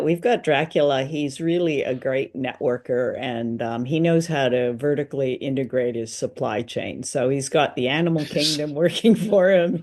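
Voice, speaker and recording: A woman's voice: low-pitched, darkish tone. An older woman from North America co-hosting a radio show, chatting casually about a review over a video call. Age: older, 60s or above. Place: indoors on a video call with headset.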